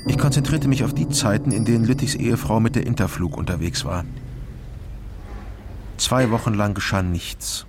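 An actor playing a world-weary private detective, narrating a radio crime drama in a dry, matter-of-fact way. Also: male voice, lowish voice, normal speed, background noise, close to an everyday voice. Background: music bed.

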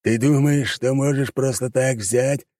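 Huge menacing demon, a man doing the voice, roaring slow threats for a dark fantasy audiobook. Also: medium pitch, steady tone, dry recording, studio quality, voice pushed up, close to an everyday voice. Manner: slow, drawn-out threatening roar. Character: huge clawed male demon, menacing and boastful. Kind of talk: audiobook character voice.

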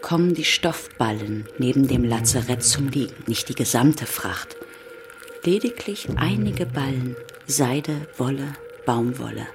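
An actress playing a grave storyteller, narrating a radio play in formal, measured literary German. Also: female voice, extremely low pitch, exaggerated voice. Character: grave storyteller chronicling a plague. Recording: music behind the voice. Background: music.

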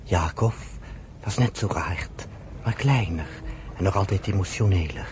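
A man's voice: deep voice, a little slow, background noise. A man narrating a radio drama in a scripted storytelling style.

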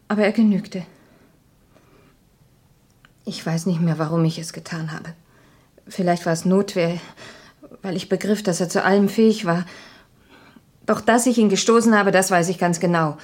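A woman's voice: on the low side, normal speed, pauses often, a little mellow, lightly acted. An actress playing an anguished, guilt-ridden young woman in a 1970s radio crime drama, with a grave, dramatic delivery. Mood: grave, remorseful.

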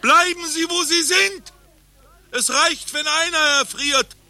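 Shrill, high-voiced deluded doctor. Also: male voice, slow pace, gravelly, pushed and bright, full character voice. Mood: freezing, alarmed. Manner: melodramatic, urgent. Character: deluded, self-important male doctor freezing in an imaginary blizzard. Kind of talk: German satirical radio play acting.

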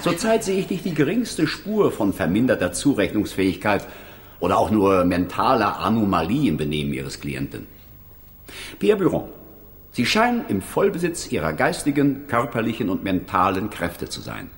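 An actor playing a stern, sarcastic, irritable presiding judge in a German radio crime play. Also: male voice, fairly low pitch, huge pitch swings, normal speed. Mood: dismissive, mocking.

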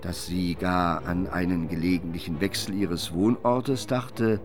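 Low-voiced male literary narrator. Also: steady tone, a little slow, faint music behind. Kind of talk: scripted literary narration for radio play.

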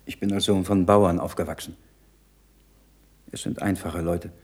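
An actor playing an earnest, troubled learned monk in a radio play, speaking in a measured, solemn, rhetorical way. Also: male voice, sits low, steady tone, darkish tone, husky. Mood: quiet, reflective. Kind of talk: German radio play acting.

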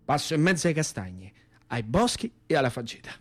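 High-voiced, excitable Lazio country lad. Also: male voice, a little fast. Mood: wistful, wondering. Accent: central Italian, Lazio. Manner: colloquial, breathless, repetitive, wide-eyed. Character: naive, lame Italian country youth recalling wartime. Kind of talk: theatrical radio monologue storytelling.

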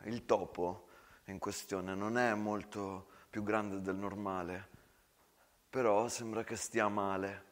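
A man's voice: lowish voice, steady tone, slow. A man narrating a war story as a theatrical monologue. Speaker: stage storyteller, actor. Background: acoustic guitar.

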